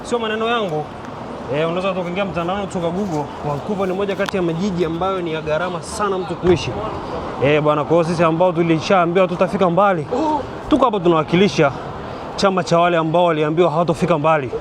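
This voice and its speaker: A man's voice: high voice, fairly even intonation, very fast, noisy background. A Tanzanian man with a Tanzanian accent, chatting and joking to viewers as he films a walking vlog on a busy city street. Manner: joking, chatty, addresses viewers, laughs often. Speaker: vlogger. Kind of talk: casual walking vlog commentary. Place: busy city street outdoors at night celebration. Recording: street recording with loud crowd and music.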